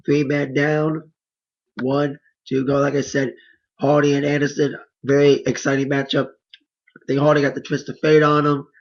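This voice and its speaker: A hoarse man giving a casual, rambling play-by-play recap of wrestling matches. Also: fairly high pitch, monotone, moderate pace, highs cut off. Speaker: wrestling reviewer.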